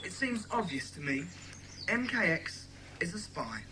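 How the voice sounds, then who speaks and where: A woman's voice: on the low side, very animated, unhurried, background noise. A woman acting a keen, self-assured schoolboy club member in a dramatised children's audiobook.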